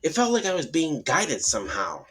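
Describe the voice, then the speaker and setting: Man reading as a reflective, halting film director. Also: fairly high pitch, wildly swooping pitch, a bit bright, breathy edge, voice pushed up, full character voice. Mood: musing, uneasy. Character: male film director musing on an eerie inspiration. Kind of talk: quoted interview within horror narration.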